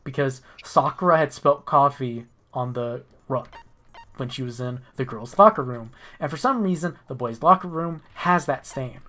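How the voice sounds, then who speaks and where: A man's voice: mid-range voice, normal speed, sharp tone. A man streaming a game and chatting casually with friends, thinking aloud in a rambling, sweary way. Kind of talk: casual gaming chat with friends.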